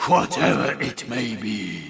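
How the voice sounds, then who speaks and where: A man's voice: lowish voice, very animated, slow pace, vocal fry, fairly bright tone, full character voice. A British man playing a grumpy, wailing ghost in chains in a comic audiobook parody, shifting between doleful declaiming and irritable grumbling.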